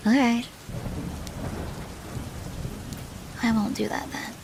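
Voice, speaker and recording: A woman playing a doting, possessive yandere girlfriend in an intimate ASMR roleplay, teasing softly and often dropping to a whisper. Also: fairly high pitch, steady tone, a little fast, background noise. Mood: affectionate, smug. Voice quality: soft, breathy with whispered phrases.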